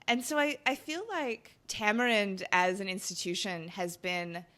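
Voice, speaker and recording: A woman's voice: medium pitch, a little slow, a bit bright. A woman hosting a podcast interview, chatty and enthusiastic, with frequent fillers and laughs. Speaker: podcast host.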